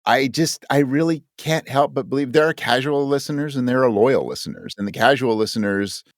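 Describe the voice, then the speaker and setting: Animated, earnest man in a dry-sounding interview. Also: medium pitch, a little fast, dry recording. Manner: earnest, emphatic, often acting out imagined remarks. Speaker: podcast guest and audio drama creator. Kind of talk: casual interview conversation.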